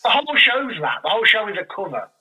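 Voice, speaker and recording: A man's voice: sits high, very animated, fast, sharp tone, low-quality recording. A man talking casually and enthusiastically as an interview guest, animatedly acting out imagined reactions as he explains. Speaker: guest, audio drama creator.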